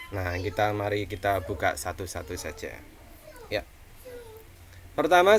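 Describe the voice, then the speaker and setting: Javanese-accented man giving a relaxed lecture. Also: mid-range voice. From Central Java, Indonesia. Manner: conversational, frequent tag questions and fillers; asks rhetorical questions then answers them. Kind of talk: online lecture.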